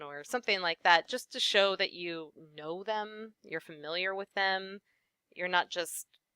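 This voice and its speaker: A young woman, a voice actress, answering interview questions in a lively conversational way with frequent "um" and "you know". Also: mid-range voice, clear, resonant tone, no echo. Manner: animated, many fillers like "um" and "you know". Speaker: voice actress guest.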